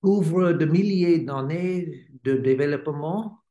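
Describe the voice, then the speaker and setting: American guy slowly reading a spiritual talk, lots of ums, echoey room. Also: fairly high pitch, mellow, muffled recording. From United States. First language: English. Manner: halting, many hesitations while reading. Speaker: swami giving a lecture. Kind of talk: read-aloud spiritual lecture. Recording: roomy echo.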